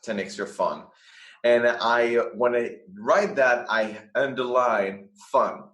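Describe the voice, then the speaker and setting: A man's voice: mid-range voice, a bit bright. Guest, man, earnest and searching for words, echoey room. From France. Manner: earnest, restarts phrases often. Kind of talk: conversational interview.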